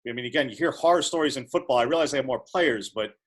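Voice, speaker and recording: A male sports reporter puts a long, rambling question to a coach at a press conference. He stumbles and starts over several times before getting to his point, with an ordinary mid pitch. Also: very fast.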